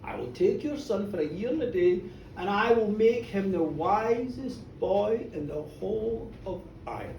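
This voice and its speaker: A storyteller voicing a tall, sly, magical male trickster who speaks slowly, with deliberate menace. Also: medium pitch, expressive, gravelly, a little mellow, roomy sound, recorded from a distance, slight background noise, poor audio. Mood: coaxing, grand.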